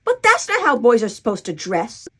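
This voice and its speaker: A woman's voice: on the high side, wildly swooping pitch, normal speed, pushed and bright, husky, exaggerated voice. A woman voicing a bossy but protective young big sister in a storybook, indignant and emphatic.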